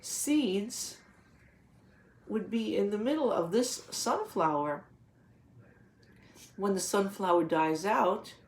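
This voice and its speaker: A woman teacher reading a picture book aloud to young children, patiently explaining in simple words and putting questions to the listeners. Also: medium pitch.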